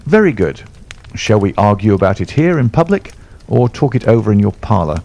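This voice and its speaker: A man voicing a confident, sharp-witted detective who speaks crisply and calmly. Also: on the low side, fairly quick. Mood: assured calm.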